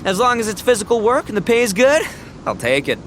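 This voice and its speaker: A man's voice: sits high, very animated, fast talker, very noisy. A man playing a brash, boastful, goofy young oni gang boss in a video game, slangy and exuberant. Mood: earnest, determined. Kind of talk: animated video game voice acting.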